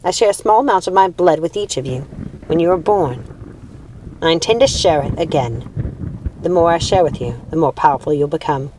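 A woman's voice: low-pitched, a little fast, a little mellow, lightly acted. Storybook witch queen, played by a female reader: cruel, proud, commanding, theatrical, over a noisy recording. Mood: intense, possessive.

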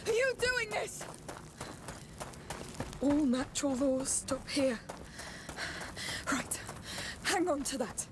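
A woman's voice: sits high, sing-song, slow pace, long pauses, background noise, exaggerated voice. An actress playing a frightened but determined young woman in a video game, breathless and anguished as she searches for her brother. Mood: dazed, muttering. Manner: breathless, anguished, muttering to herself and calling out. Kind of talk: dramatic video game acting.

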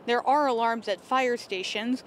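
A woman's voice: fairly high pitch, measured pace, a little hiss or hum. A woman in her twenties reporting a scripted television news story into a handheld microphone. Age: 20s. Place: outdoors on a street by a building, live stand-up.

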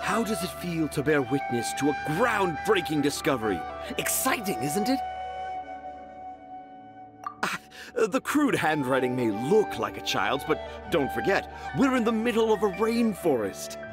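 A self-assured young storyteller in a fantasy game, talking in flowery, theatrical flourishes. His voice sits a little high and swoops expressively. Soft music plays underneath. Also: very animated, measured pace. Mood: grandiose. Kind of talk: studio game voice acting.

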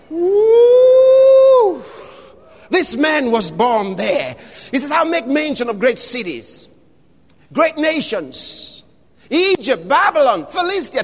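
A man preaching an impassioned sermon, shouting scripture with long drawn-out phrases. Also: very high-pitched, in a large hall.

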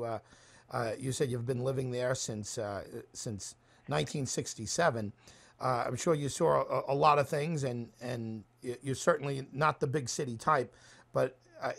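Male radio host with halting questions. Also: mid-range voice, vocal fry. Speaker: radio talk show host. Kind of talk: conversational interviewing.

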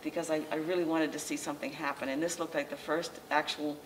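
An older woman talks a small audience through her slides in a low, unhurried voice, stopping often to restart her sentences. She is picked up from a distance by a camera mic. Faint room noise sits under her words. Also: level tone. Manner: conversational, halting with frequent restarts and fillers. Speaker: local historical society president giving a lecture. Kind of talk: informal slideshow lecture. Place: library meeting room, indoors, small audience. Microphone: far camera, masked speaker.

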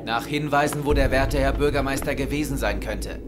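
A man playing a determined federal investigator in a radio drama, speaking in a steady, matter-of-fact way. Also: mid-range voice, fairly quick, background noise, lightly acted. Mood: calm. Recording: audio drama with music behind.

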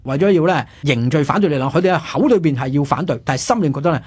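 A man with a Hong Kong Cantonese accent hosting radio, telling history conversationally with many drawn-out sentence particles. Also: fairly high pitch, animated, a little mellow, breathy edge. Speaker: radio programme host.

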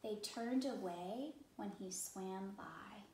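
Slow-paced woman reading to children. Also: medium pitch, darkish tone, slight background noise. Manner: measured, with pauses for effect. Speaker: teacher reading aloud. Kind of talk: expressive children's story read-aloud. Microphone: camera a few feet away. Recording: roomy, somewhat distant microphone.

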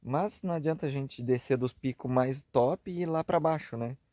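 A man in his thirties from southern Brazil, with a Rio Grande do Sul accent, chatting casually for his camping vlog. He talks in a relaxed way with frequent tag questions, recorded on a camera held at arm's length at an outdoor hilltop campsite. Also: mid-range voice, moderate pace, no echo. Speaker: travel vlogger. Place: outdoor campsite on a grassy hilltop, earlier inside a car at night.

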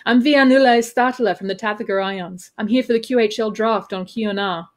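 A woman's voice: on the high side, moderate pace, close to an everyday voice. Woman voicing awkward, wry young male spacefarer. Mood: gasping relief. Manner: gasping, awkward, sardonic.

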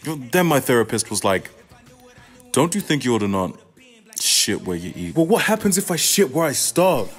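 Man acting as frustrated male playwright, sardonic, profane, confessional, emphatic, radio play monologue, very animated pitch. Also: moderate pace, slightly rough, soft background music. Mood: exasperated, ranting. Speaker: student actor.

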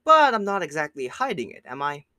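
Man acting a sly young schemer, smug, menacing, breezy, lively pitch, dry close recording, comic dub. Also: brisk, dry recording. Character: smug, menacing young man giving cheerful dark advice.

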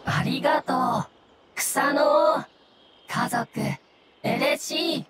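A woman voicing a small, innocent, friendly mushroom spirit in an anime game, speaking in halting single words. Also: sits high, heavy background noise. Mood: grateful. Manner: halting, single-word phrases. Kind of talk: Japanese anime game voice acting.

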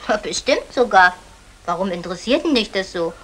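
An actress playing a lovestruck, chatty young housemaid, eager and coaxing, in a vintage German radio comedy. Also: female voice, medium pitch, animated, fairly quick, a bit bright. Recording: faintly noisy 1960 radio recording.

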